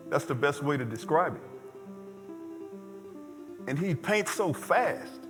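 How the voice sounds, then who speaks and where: A man acting as an admiring storyteller in a stage play, fondly recounting a painter's life in deliberate, pause-filled phrases. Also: fairly high pitch, expressive. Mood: reverent, fond. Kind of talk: theatrical stage monologue. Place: indoor stage performance space. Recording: echoey stage hall, music behind. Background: plucked guitar music.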